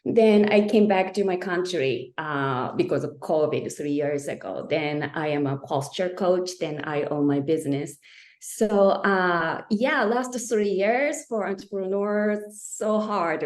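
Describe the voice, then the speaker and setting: A woman in her forties with a Japanese accent, a posture coach joining a video call, speaking haltingly with frequent "uh". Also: fairly low pitch, a little mellow, echoey room. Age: 40s. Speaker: posture coach, audience guest. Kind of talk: casual question on a video call.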